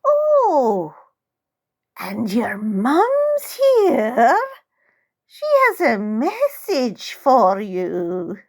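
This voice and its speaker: A woman voicing a strange, impatient old lady with a thin, high, trembling voice in a storybook reading. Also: huge pitch swings, full character voice. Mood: eerie, insistent. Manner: barking, slow, drawn-out questions.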